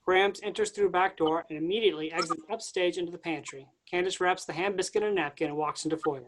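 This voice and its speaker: A reader voices the stage directions of a staged play reading in a matter-of-fact, even way. The pitch sits in a middle range. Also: fast talker, slight background noise.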